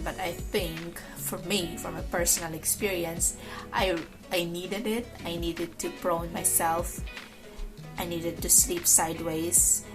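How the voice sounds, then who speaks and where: A young woman speaks slowly and haltingly from a couch at home, her somewhat low voice rough and hoarse from a lingering cough. Clear music plays behind her as she talks. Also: poor audio. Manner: slow, hesitant, many ums and restarts. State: recovering from illness, dry cough. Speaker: ICU nurse vlogger. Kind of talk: casual vlog monologue.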